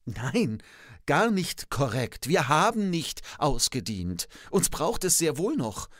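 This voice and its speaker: Comic podcast role: pedantic, contrarian old-school professor, played by a man, precise and declamatory, lively pitch swings, dry close studio sound. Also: slow, no room sound, full character voice. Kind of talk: scripted comic dialogue. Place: radio studio. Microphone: studio microphone.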